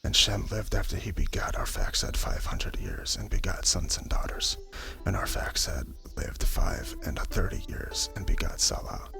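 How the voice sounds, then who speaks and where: Deep creaky guy whispering bible verses asmr style, music playing behind him. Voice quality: whispery, breathy delivery. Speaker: narrator reading scripture. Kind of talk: hushed ASMR scripted Bible narration. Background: background music.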